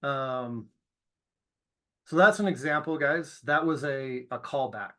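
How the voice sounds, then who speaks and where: A man's voice: medium pitch, slow pace, fairly bright tone. Casual, self-deprecating male instructor. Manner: informal, self-deprecating, often checks in with "okay?". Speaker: trainer teaching students about cold calls. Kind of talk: casual video lesson with commentary.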